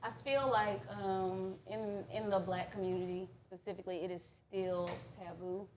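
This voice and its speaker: A woman's voice: mid-range voice, steady tone, normal speed, a little mellow. A woman from Fort Worth, Texas, talking casually as a panelist. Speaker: panelist, coffee business owner. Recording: echoey room with faint noise.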